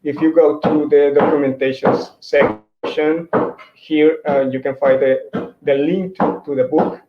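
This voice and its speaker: A man's voice: on the high side, fairly even intonation, unhurried. Hesitant man. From Mexico. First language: Spanish. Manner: hesitant, many restarts and fillers. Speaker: conference speaker. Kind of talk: virtual tech talk with slides. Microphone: computer mic on a video call. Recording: roomy, dull lo-fi sound.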